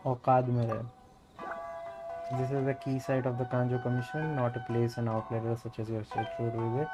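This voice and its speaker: A man's voice: medium pitch, low-quality recording. A man with an Indian accent, a native Hindi speaker, casually commentating as he streams a game and reads its dialogue aloud. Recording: loud game music behind. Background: video game music.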